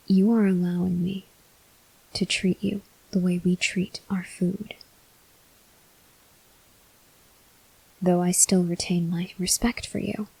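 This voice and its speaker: A woman playing a brooding, guilt-ridden male vampire officer in an ASMR roleplay, whispering breathily in slow, halting, formal phrases. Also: on the low side, gravelly, dark tone, slightly rough, full character voice, rough recording. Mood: self-loathing.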